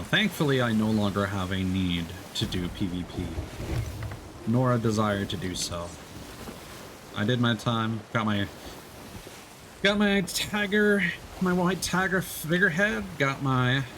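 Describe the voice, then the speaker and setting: A man's voice: mid-range voice, unhurried. A man streaming a game, chatting casually and explaining fishing tips with lots of fillers and restarts. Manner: rambling, hesitant, many fillers and restarts. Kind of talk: casual gameplay chat. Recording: faint background noise.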